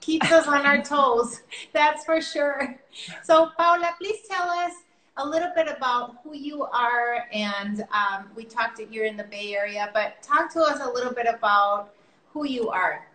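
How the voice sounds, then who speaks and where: Bubbly high-voiced woman host, echoey room. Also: measured pace, bright, forceful tone. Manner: upbeat, effusive, many fillers. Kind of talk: casual online interview. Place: San Diego.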